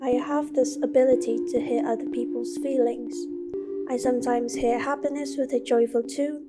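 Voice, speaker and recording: A woman's voice: high voice, fairly even intonation, normal speed, loud music behind the voice, close to an everyday voice. A woman playing a curious, earnest young student in an amateur radio drama, narrating and acting out her story. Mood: earnest, concerned.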